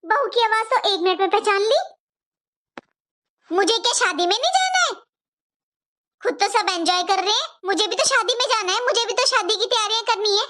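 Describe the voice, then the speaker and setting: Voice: extremely high pitch, lively intonation, normal speed, pauses often, bright, forceful tone, heavily acted. A performer voicing a resentful, defiant young married sister-in-law in a cartoon moral story, complaining and scolding. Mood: indignant. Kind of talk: animated moral story dialogue.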